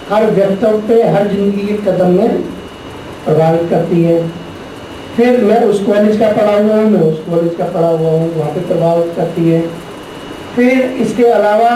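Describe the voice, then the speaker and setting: A middle-aged man giving a spiritual lecture to a class, teaching deliberately and posing rhetorical questions. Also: high voice, dark tone. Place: large echoey classroom. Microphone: distant room mic. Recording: very echoey, distant, noisy room recording.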